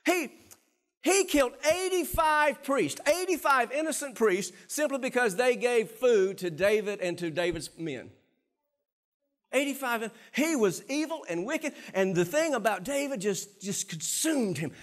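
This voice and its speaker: A middle-aged man preaching a sermon from a church stage on a lapel mic, animated and swinging between quiet and loud passages. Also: very high-pitched, unhurried. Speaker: pastor.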